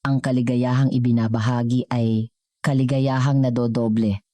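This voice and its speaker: A woman narrator plays a middle-aged husband and businessman in a storybook tale. He is devoted, sincere and reflective, speaking in a very low, dark-toned voice, recorded dry and close. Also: level tone, normal speed, no echo. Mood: thoughtful. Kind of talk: scripted storybook dialogue.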